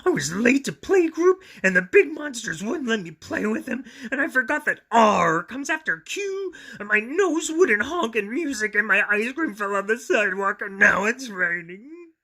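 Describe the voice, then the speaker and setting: A man's voice: very high voice, sing-song, moderate pace, few pauses, sharp tone, pitched above the performer's own voice, heavily acted. A man voicing an upset, whiny young boy monster with exaggerated, plaintive complaining for a storybook. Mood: miserable, tearful.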